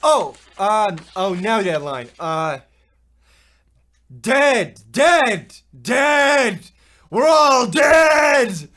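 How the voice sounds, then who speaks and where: A man playing an earnest, excitable Depression-era boy in a comedy radio play, with halting, folksy old-time exclamations. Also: extremely high pitch, shouting, full character voice. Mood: excited, alarmed.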